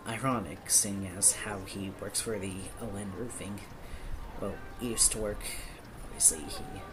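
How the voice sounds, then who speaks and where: A man's voice: on the low side, unhurried, background noise, close to an everyday voice. A man playing a nervous, awkward small-town police officer in an audio roleplay, hesitant and apologetic in manner. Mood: matter-of-fact, somber. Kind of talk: audio roleplay acting, one-sided dialogue.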